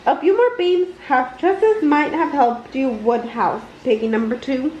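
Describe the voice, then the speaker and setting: A woman reading a children's picture book aloud with an expressive, deliberate storytelling delivery in an indoor room. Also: high-pitched. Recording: roomy, dull home recording with noise.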